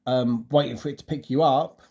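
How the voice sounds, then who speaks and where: A man's voice: mid-range voice, steady tone, brisk, vocal fry, mellow. A man co-hosting a casual travel review, offering tips and often repeating words as he feels his way. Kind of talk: casual chat, giving tips.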